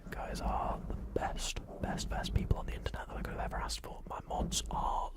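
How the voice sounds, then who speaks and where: A young man talking to his chat in a soft, rambling whisper on an ASMR stream, often repeating himself. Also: a little fast.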